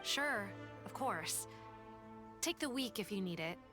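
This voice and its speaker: A woman's voice: mid-range voice, animated, slow pace, over music. A woman playing a concerned, earnest young boss in a video game. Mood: worried.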